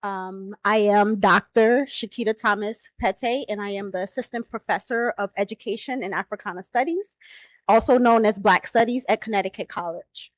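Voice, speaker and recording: A woman's voice: medium pitch, moderate pace, no room sound. A woman from Chicago's South Side introducing a keynote speaker at a dinner, speaking conversationally with fillers and self-corrections. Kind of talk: formal introduction speech with personal asides. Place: banquet hall.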